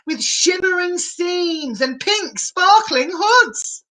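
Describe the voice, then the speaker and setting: A woman reading a picture book aloud to children as a storyteller, in an animated, encouraging and theatrical manner. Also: extremely high pitch, drawn-out words, low-quality recording.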